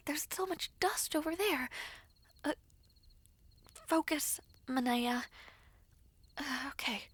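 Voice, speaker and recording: A woman performs a modest, anxious young princess in an audio roleplay. Her voice sits very high and moves slowly, with hesitant, breathy politeness. The sound is close and dry. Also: dry recording. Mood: nervous. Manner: hesitant, soft-spoken, breathy murmurs.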